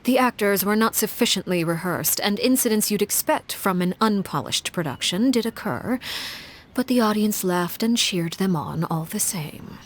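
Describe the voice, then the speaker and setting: A woman's voice: medium pitch, animated, lightly acted. A woman voicing a poised, calculating leader. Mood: composed. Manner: formal, measured, precise diction. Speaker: video game character. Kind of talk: scripted game voice lines.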